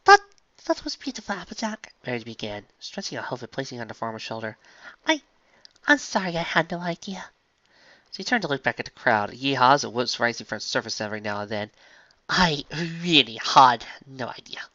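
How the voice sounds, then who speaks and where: Guy voicing a soft high refined unicorn mare, gentle and halting, lively pitch. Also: no echo, lo-fi sound. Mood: moved.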